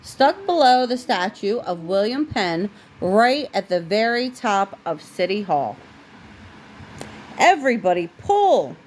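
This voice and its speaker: A woman who is a parent reading a storybook aloud in an expressive way, with casual asides. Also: medium pitch, measured pace, few pauses, a little hiss or hum.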